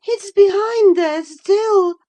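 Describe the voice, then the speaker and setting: A woman reading an audiobook, voicing a delirious, frightened young woman in a high, breathless, anxious voice. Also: slow pace, dark tone. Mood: terrified. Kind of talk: audiobook character voice.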